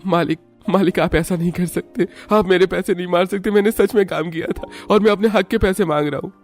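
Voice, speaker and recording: Poor young cartoon worker played by a man, earnest, worried, fairly high voice, music behind. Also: fairly even intonation, a little fast, darkish tone. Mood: pleading. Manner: pleading, earnest. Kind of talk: cartoon story dialogue.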